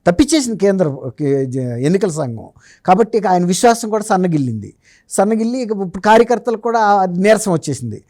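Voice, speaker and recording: A man speaks as a political commentator in a conversational interview style. His voice sits a little high yet is very mellow, recorded close and dry. He explains his points emphatically, often through rhetorical questions. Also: big pitch swings, a little fast, no room sound. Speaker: journalist giving political analysis as interview guest.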